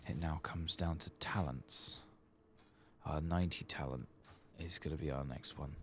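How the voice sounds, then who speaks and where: A man giving soft-spoken ASMR game commentary, murmuring and at times drifting toward a whisper. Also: very deep voice, steady tone, unhurried, a little hiss or hum. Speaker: gaming video host.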